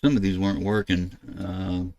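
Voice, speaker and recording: An older American man narrating a casual screen-share tutorial, thinking aloud in a halting way as he works at his computer. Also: sits low, flat delivery, creaky, dark tone, dull audio, a little hiss or hum. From United States. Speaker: ham radio video host. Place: indoors at a computer desk.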